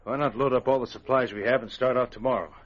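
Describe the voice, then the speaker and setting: Man playing husky, uneasy outlaw henchman. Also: mid-range voice, steady tone, fast, lightly acted. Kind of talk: old-time radio adventure acting. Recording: muffled vintage radio recording.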